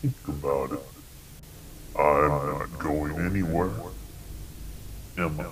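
A man playing a sinister, taunting entity that lives in television static, speaking in a calmly menacing, mocking manner in an analog horror drama. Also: a bass voice, slow. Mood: coldly persuasive. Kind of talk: analog horror scripted dialogue.

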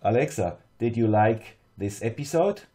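A man with a Swiss accent narrating a scripted tutorial in a measured, plain-spoken, step-by-step way. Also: on the low side, lively intonation, mellow. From Switzerland. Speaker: host of an electronics tutorial channel.

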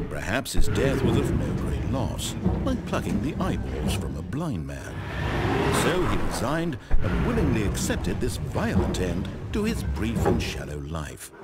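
A man with a British accent playing an urbane, wry storyteller in a game, precise and theatrical in his scripted narration. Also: fairly low pitch. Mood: grave, dramatic.

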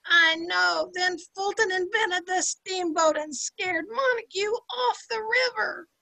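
A woman's voice: very high voice, a little slow, gravelly, pushed and bright, full character voice, rough recording. An older woman playing a brassy, sardonic housemaid in an amateur old time radio sitcom, with slangy, punchy delivery. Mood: bossy, egging on. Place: indoor room at home, video call. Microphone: computer mic on a video call.

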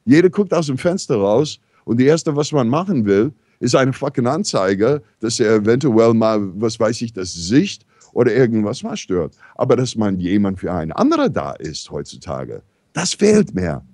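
American man telling lively, rambling anecdotes. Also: mid-range voice, moderate pace, no room sound, clean studio sound. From New York, USA. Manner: rambling, animated, many fillers and restarts. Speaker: talk show regular. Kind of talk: casual storytelling in a discussion.

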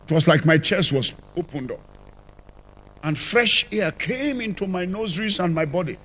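An older man with a Nigerian accent, telling his conversion testimony as a church apostle, with sudden rises and drops in loudness, on a handheld mic in a large church. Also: sits high, animated, normal speed, a little hiss or hum. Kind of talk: testimony sermon. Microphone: handheld microphone.

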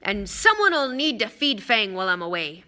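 A woman voicing a huge, gruff, hot-tempered man with loud, rustic speech in a storybook reading. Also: a little high, expressive, normal speed, full character voice. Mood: loud, resolute. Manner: loud, roaring, rustic dropped-sound speech.

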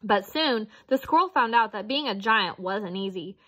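A woman's voice: mid-range voice, animated, moderate pace, bright, forceful tone. A woman reading a children's picture book aloud as narrator. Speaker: storybook reader.

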